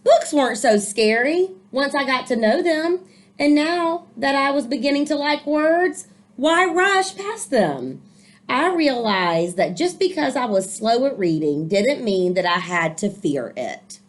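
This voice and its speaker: A woman reading a picture book aloud to children, expressively, with encouraging, explanatory asides. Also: on the high side. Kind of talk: storybook read-aloud with commentary for children.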